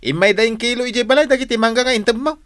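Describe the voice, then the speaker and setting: Stubborn village customer, very high bright male voice, blunt, Ilocano accent, radio comedy-drama. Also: very fast, a little hiss or hum. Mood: accusing. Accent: Filipino, Ilocano.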